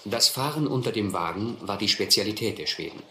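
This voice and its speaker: A man plays a thoughtful radio drama narrator remembering life as a tramp. His voice is on the low side and measured, with a literary turn. The recording is reverberant and has clear noise. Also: expressive, lightly acted. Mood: reflective. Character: reflective male narrator recalling his hobo youth. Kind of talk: scripted radio play narration. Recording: roomy, clearly noisy radio play recording.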